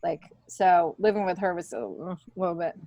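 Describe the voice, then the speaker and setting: A woman's voice: lowish voice, animated, moderate pace, mellow, no echo. A woman in her fifties talking casually and candidly as a podcast guest, in a loose, rambling, joking way. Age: 50s. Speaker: podcast guest, stand-up comedian.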